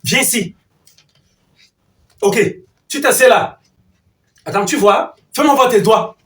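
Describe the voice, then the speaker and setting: A man's voice: very high voice, slow pace, fairly bright tone, full character voice. A man acting as an exasperated, domineering middle-aged father in a heated domestic drama, indignant and commanding, repeating his questions and orders. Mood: angry, commanding. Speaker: actor. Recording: roomy echo, distant mic, music.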